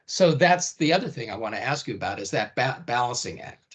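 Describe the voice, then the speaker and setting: A man's voice: mid-range voice, lively intonation, a little fast, breathy edge. A man co-hosting a podcast interview, asking reflective questions in a wry, conversational way. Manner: reflective, wry, asks with "right?". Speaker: podcast co-host.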